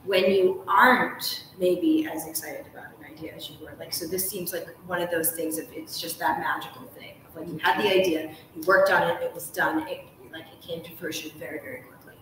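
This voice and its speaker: A woman's voice: fairly low pitch, steady tone, a little fast, few pauses. A woman hosting a casual, friendly interview with enthusiasm and rambling questions. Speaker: host, interviewer. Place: ornate hotel room, indoors. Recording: distant, echoey room, background noise.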